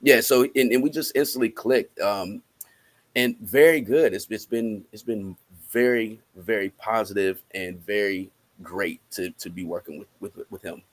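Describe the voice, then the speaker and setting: A man with an American accent speaking casually as an interview guest, halting as he thinks aloud. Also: lowish voice, steady tone, no echo. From Cincinnati, United States. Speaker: interviewed musician and rapper.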